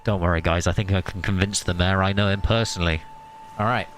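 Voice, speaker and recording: A man's voice: low-pitched, steady tone, quick pace, soft background music. A man streaming a game, reading story text aloud with sarcastic, joking asides.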